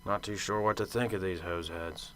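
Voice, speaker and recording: An actor playing a cynical, brusque big-city detective in a radio drama, gruff and terse. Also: male voice, low voice, steady tone, moderate pace, background noise, close to an everyday voice. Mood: bitter, brooding.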